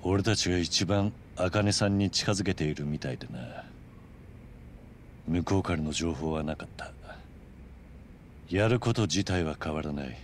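An actor playing a stoic, gruff middle-aged ex-yakuza man in a video game, terse and understated with a dry kindness. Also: low-pitched, expressive, moderate pace, long pauses, slight background noise. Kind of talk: Japanese video game dub acting.

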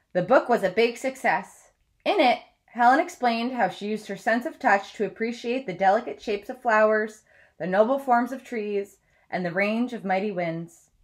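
A woman in her thirties reading a book aloud like a teacher, in a measured, deliberate way with clear phrasing. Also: medium pitch. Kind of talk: read-aloud of a children's biography. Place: small room at home, indoors.

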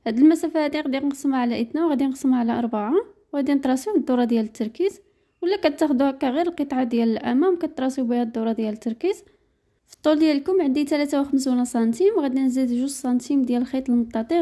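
A woman with a Moroccan Arabic accent narrating a step-by-step sewing tutorial, briskly reading out measurements in a matter-of-fact way. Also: sits high, warm tone. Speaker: sewing teacher.

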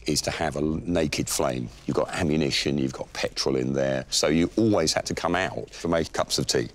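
A man in his fifties, a museum curator, explaining things calmly and matter-of-factly outdoors on a lapel mic. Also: a bass voice, normal speed. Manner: calm, matter-of-fact, explaining step by step. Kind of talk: explanatory on-site chat. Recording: outdoor noise in the background.

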